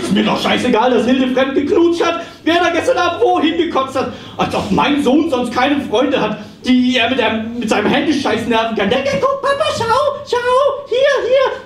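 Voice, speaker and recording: A man plays a frantic, muddled psychotherapist in a live comedy radio play. The voice is high and jumps all over as he rants and stammers. It is picked up from far off with clear noise. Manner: ranting, stammering, exclamatory. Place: small dark theatre stage, live. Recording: echoey, noisy stage recording from far off.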